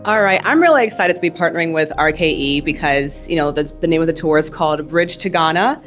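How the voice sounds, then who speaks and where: A woman's voice: deep voice, lively intonation, fast, fairly bright tone, faint music behind. A young adult woman, a first-generation Ghanaian raised in the United States, moderating a panel event in an upbeat, welcoming way.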